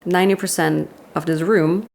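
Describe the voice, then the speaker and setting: Austrian-accented woman guest in her thirties, low gravelly voice, candid and quick to laugh. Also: fairly even intonation, a little mellow. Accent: Austrian German. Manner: candid, laughs often, quick self-deprecating asides. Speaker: guest, commercial director. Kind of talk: casual interview chat.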